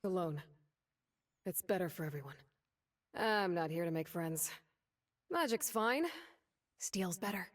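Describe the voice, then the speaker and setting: A woman's voice: on the low side, lively intonation, a little slow, long pauses. An actress voicing a confident, rebellious young female assassin in a video game, with clipped, serious, defiant lines. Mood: cocky, cool. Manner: clipped, cocky, serious delivery.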